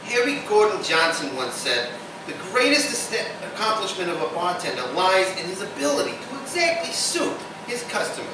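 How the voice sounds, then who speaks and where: A noisy, deeply reverberant live recording. An actor plays an easygoing bartender with a philosophical turn, speaking in stage radio drama style at some distance from the microphone. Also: very animated, normal speed, natural voice. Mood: philosophical, reassuring. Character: easygoing, philosophical bartender dispensing love advice. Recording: very echoey, distant live recording, clear noise.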